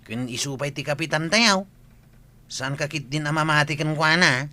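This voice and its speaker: A man's voice: on the high side, normal speed, sharp tone. A man acting a contrary, matter-of-fact village nephew in a comedic audio drama. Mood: insistent.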